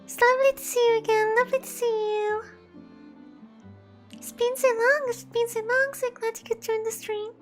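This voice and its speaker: Woman streamer, extremely high voice, narrow intonation, cutesy, gushing, repetitive, casual livestream chat. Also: fairly even intonation, moderate pace, soft background music. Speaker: VTuber streamer.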